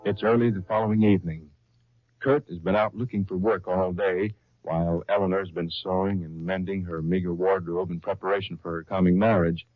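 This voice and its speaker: A man's voice: deep voice, fairly even intonation, fairly quick, no room sound, soft background music. A man working as a radio announcer and narrator in 1930s style, reading from a script with theatrical emphasis. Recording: dull, lo-fi 1930s radio recording.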